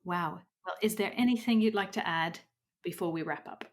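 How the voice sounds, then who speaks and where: Soft-spoken lower-voiced woman hosting a podcast. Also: moderate pace. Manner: soft-spoken, affirming listener, then clear scripted sign-off. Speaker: podcast host. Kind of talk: interview and scripted outro.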